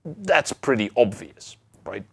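A man in his thirties giving an explanatory lecture as a university lecturer, phrasing deliberately and emphatically with pauses. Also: medium pitch, very animated, bright, forceful tone, breathy edge.

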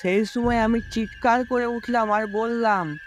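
A man's voice: extremely high pitch, steady tone, a little slow, slight background noise. A man voicing a timid young villager who narrates and acts out a cartoon ghost story. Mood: suspenseful telling. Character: timid, worried young village man telling his tale. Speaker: narrator and character.

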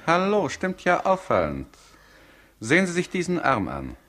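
Sardonic doctor, radio crime play: flowery, teasing, highly animated melody. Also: male voice, moderate pace, exaggerated voice. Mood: teasing, ironic. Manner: flowery, mocking, theatrical speech. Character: witty, ironic hospital doctor teasing his pupil. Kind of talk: 1960s German-language radio crime drama acting.

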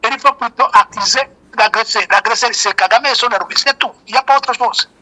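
A man's voice: very high voice, brisk, sharp tone, low-quality recording. An older man with a Rwandan accent, a native Kinyarwanda speaker, giving emphatic, repetitive political commentary as an interview guest over a phone. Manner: emphatic, repeats key points. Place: remote call, shown as a photo.

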